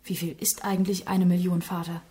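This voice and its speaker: An actress playing a gentle, naive young woman in a German radio play, earnest and respectful. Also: fairly low pitch, level tone, fairly quick, husky. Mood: naive, troubled. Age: young adult.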